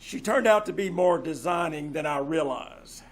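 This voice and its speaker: An actor playing a flustered, shady husband with a hesitant, stammering delivery in an old-time radio play. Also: male voice, a little high, normal speed, natural voice. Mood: uneasy confessing. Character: flustered, shady wealthy husband with a guilty secret.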